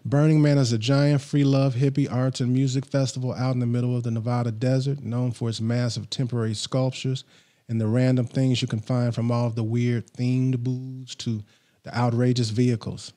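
A middle-aged man hosting a podcast from a small home studio, reading a countdown list in a steady, scripted way into a studio microphone. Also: medium pitch, normal speed. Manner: steady, read-from-script delivery. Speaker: podcast host. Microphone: studio mic on boom arm, headphones on.

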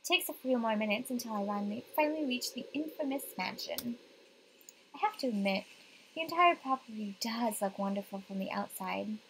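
A woman's voice: fairly high pitch, animated, brisk, slight background noise, low-quality recording. A young woman reading visual novel narration aloud. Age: young adult. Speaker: game streamer reading aloud.

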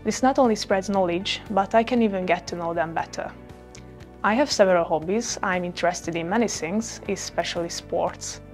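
A woman's voice: lowish voice, moderate pace, faint music behind. Upbeat Hungarian teenage girl. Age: teens. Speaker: student. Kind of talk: prepared self-introduction. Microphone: lapel mic.

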